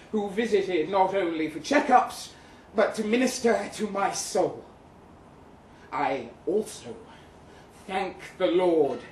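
A man performing a live dramatic reading as a bitter, witty crippled young Russian ex-soldier, in a theatrical, florid, wry manner. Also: high voice. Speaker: performer reading a short story aloud. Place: live event venue in London, indoors. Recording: roomy, distant live recording with noise.

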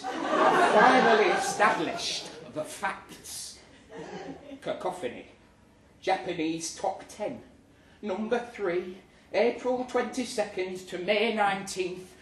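A man's voice: a little high, fairly bright tone. A man performs a comic short story live in a pub, narrating with sardonic, indignant theatricality. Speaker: story reader. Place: live reading event in a pub. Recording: echoey, noisy pub room, distant mic.

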